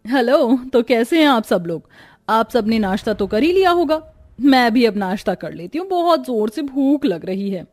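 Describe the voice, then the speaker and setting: Cartoon young wife, high voice, sulky and defiant, complains with exaggerated whininess. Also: female voice, sing-song, normal speed, a little mellow, soft background music. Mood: cheerful. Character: young sulky daughter-in-law, defiant, whiny, fond of sleep. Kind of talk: Hindi cartoon story dialogue.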